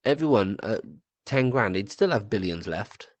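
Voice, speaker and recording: A man's voice: on the low side, animated, normal speed, no room sound. A man with a cold, co-hosting a podcast in casual chat, swearing and tripping over his words. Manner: rambling, swears, stumbles and repeats words. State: has a cold. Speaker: podcast co-host.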